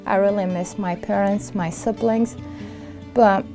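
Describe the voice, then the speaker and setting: Halting middle-aged woman, Iraqi accent. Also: medium pitch, fairly even intonation, over music. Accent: Iraqi Arabic. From Iraq. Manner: halting, frequent fillers and pauses. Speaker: refugee sharing her story. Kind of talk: interview testimony.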